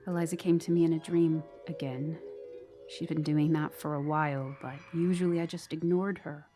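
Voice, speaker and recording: A woman's voice: sits low, steady tone, normal speed, mellow, natural voice. An actress playing a brooding, insecure woman who narrates her troubled romance as an intimate, bitter, haunted ghost story monologue. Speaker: narrator and lead character of a horror audio drama. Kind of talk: dramatic first-person ghost story monologue. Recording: music behind the voice. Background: musical underscore.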